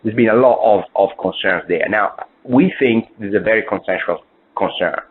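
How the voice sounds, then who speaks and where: A man's voice: fairly low pitch, moderate pace, a little hiss or hum. A man presenting on a conference call as a fund's investment officer, talking through views with repeated words and restarts. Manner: fillers and restarts, emphatic repetition. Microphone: conference call phone line. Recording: tinny phone line.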